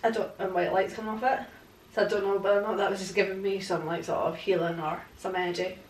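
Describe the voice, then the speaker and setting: A woman's voice: medium pitch, level tone, fast talker, distant mic, slight background noise. Young woman, hesitant, in an echoey room. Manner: hesitant, lots of fillers. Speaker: guest, paranormal investigator. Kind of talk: casual interview answers. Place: living room, indoors, on a sofa. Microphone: far camera.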